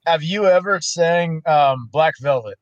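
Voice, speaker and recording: Man, slightly high voice, halting delivery with restarts, dry close mic. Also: dry recording, lo-fi sound. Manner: halting, repeats words. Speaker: veteran guest. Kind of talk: casual video-call chat. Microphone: video call.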